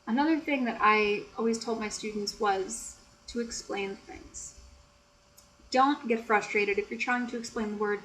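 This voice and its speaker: Soft-spoken American woman, somewhat high voice. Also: a little slow, a little hiss or hum, low-quality recording. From United States. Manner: chatty and explanatory, with frequent "you know". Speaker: ASMR creator and English tutor. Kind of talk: soft-spoken ASMR chat.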